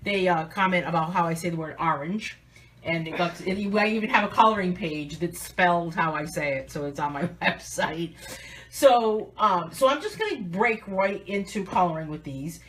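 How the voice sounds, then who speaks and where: A woman's voice: on the low side, normal speed, fairly bright tone, slight background noise. A woman with a Southern New England accent, chatting casually as she hosts a live coloring demo. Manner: chatty, upbeat, restarts often. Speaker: artist and livestream host.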